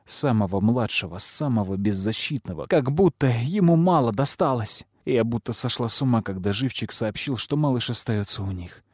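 A man's voice: mid-range voice, lively intonation, moderate pace, hardly any pauses, dry recording, studio quality, natural voice. A man voicing a grieving widowed noblewoman in an audiobook, pleading and full of remorse. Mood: remorseful grief. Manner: anguished, pleading. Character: proud, grieving widowed noblewoman, guilt-ridden mother.

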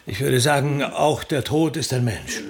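A man's voice: mid-range voice, steady tone, unhurried, slightly rough. An actor playing a put-upon, kindly servant in his fifties in a radio play, with a polite, hesitant, earnest manner. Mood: polite, reproachful. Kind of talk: naturalistic radio play acting.

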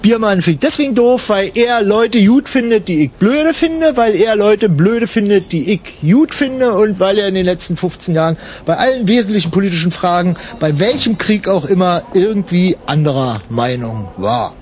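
Man acting male travel companion, high pitch, lively intonation, bored, sardonic, contrary, colloquial radio play dialogue. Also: normal speed, few pauses, slight background noise. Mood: contrary, deadpan.

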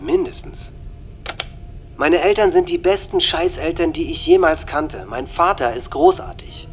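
A man's voice: a little high, expressive, measured pace, faint music behind. An actor playing an angry, manipulative teenage boy in an intense, confiding radio drama monologue in German. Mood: agitated, remorseful. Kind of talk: German radio docudrama acting, diary monologue.

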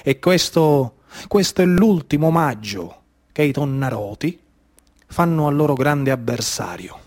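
A man's voice: on the high side, lively intonation, unhurried, a little mellow. A man with a Sicilian accent performing a dramatic theatrical monologue in dialect, rhythmic and incantatory, with repeated phrases. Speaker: theatre storyteller and actor.

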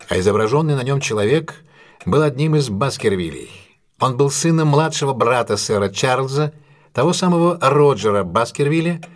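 Casting: male actor for analytical detective in an audio drama, husky voice, lively intonation, emphatic and assured explanations. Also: mid-range voice, close to an everyday voice. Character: brilliant male detective recounting a solved case, assured, analytical. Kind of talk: audio drama dialogue, expository monologue.

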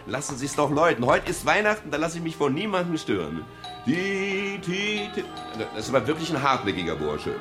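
Sentimental blustery private eye, voice swinging all over, old radio crime drama, music behind. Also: male voice, sing-song, fairly quick. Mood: blustering, indignant. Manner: theatrical, swinging from booming bluster to courteous murmur. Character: jovial, sentimental private detective, a blustering charmer. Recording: 1970s radio play with music.

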